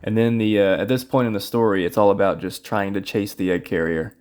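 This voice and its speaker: A man casually commentating his own speedrun, explaining routes and bosses in a relaxed, rambling way with plenty of fillers. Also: fairly low pitch, fairly quick, a little hiss or hum. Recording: game music playing loudly behind. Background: game music.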